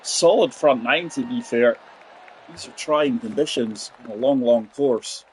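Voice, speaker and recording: A man's voice: medium pitch, a little slow, a faint crowd, slight background noise, rough recording. A man in his forties giving chatty live commentary on a golf game, talking straight to his viewers. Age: 40s. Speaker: game streamer.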